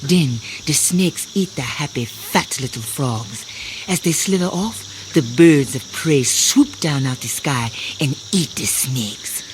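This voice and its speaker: Sly, lilting swamp-bar waitress, crickets around. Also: female voice, sits low, animated, slow. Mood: grimly relishing. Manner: taunting, sardonic, playful. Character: sly, teasing waitress who runs a swamp clam bar. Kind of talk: audio drama acting. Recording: clearly noisy outdoor night ambience with insects. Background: crickets and insects.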